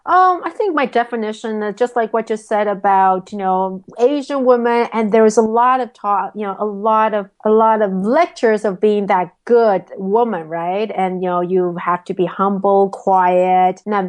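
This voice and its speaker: A woman's voice: mid-range voice, moderate pace, hardly any pauses. A woman from Taiwan, answering interview questions thoughtfully, with frequent "um"s and restarts. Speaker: guest, sales coach.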